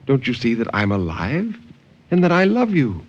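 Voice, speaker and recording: A man playing a troubled, tender husband believed dead, in a deliberate 1950s radio horror monologue. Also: mid-range voice, huge pitch swings, lo-fi sound. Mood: tender, anxious. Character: anguished French husband believed dead, telling his tale. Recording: noisy vintage 1950s radio recording.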